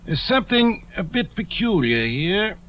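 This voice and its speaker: An actor playing a mild, shrewd country doctor, measured and politely doubting, in an old-time radio drama. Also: male voice, sits high, sing-song. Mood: quietly suspicious. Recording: muffled vintage radio recording.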